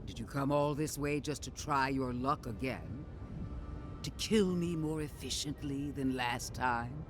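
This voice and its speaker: An actor playing a menacing, taunting villain who talks of fate in a fantasy game cutscene. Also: male voice, medium pitch, measured pace, lightly acted. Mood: taunting, ominous. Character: menacing, fateful villain man taunting an old foe. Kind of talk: dramatic video game cutscene acting. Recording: music clearly behind the voice.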